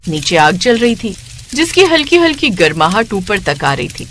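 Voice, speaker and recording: A woman's voice: medium pitch, sing-song, moderate pace, a bit bright, slight background noise. A woman narrating a scripted moral story as a storyteller.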